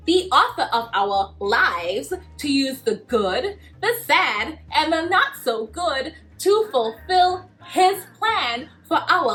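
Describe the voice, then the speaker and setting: A woman hosting a children's Bible lesson, cheerful and animated, laughing often as she talks to kids. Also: high-pitched. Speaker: children's story host.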